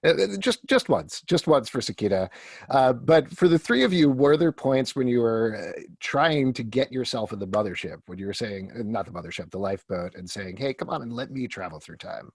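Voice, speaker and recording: A man with an average-pitched voice moderates a panel discussion. He puts questions to the guests in an interview style, and his recording sounds dry, with little room around it. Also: expressive, fairly quick, slightly rough, no room sound.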